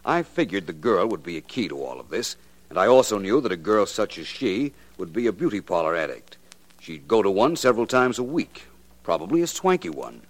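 An actor playing a suave, self-assured private detective in a 1940s radio drama, with polished, unhurried delivery. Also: male voice, lowish voice, sing-song. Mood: self-satisfied.

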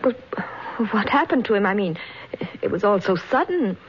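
A woman's voice: mid-range voice, very animated, moderate pace, husky. A young woman playing an anxious, insistent grown daughter in a 1940s radio melodrama, urgent and questioning. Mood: anxious, polite. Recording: dull, noisy old 1940s radio recording.

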